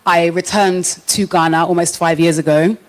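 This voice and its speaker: A woman's voice: lowish voice, fairly even intonation, moderate pace, low-quality recording. Young london woman on a panel, thoughtful, frank, live audience. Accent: British, London. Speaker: panel guest. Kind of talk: panel discussion.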